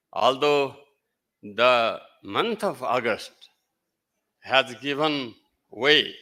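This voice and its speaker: A man, a native Nepali speaker with a Nepali accent, giving a halting, emphatic formal speech as guest speaker at a ceremony. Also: mid-range voice, pushed and bright. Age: older.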